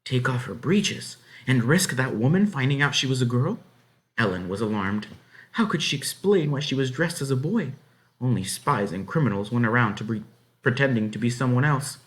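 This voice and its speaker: A man reading a children's novel aloud as narrator, in an expressive, dramatic way. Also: mid-range voice, normal speed. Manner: dramatic, animated. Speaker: story reader.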